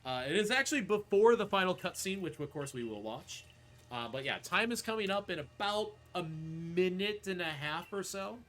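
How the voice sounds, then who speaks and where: Excitable, bright-voiced man, lively pitch. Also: moderate pace, a little hiss or hum. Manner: excitable, joking. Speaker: speedrunner playing and commentating. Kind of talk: casual live game commentary.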